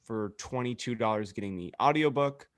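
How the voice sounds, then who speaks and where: A man's voice: medium pitch, moderate pace, no room sound, clean studio sound. A man co-hosting a casual podcast, talking in a wry, teasing, joking way. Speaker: podcast co-host. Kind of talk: casual group banter.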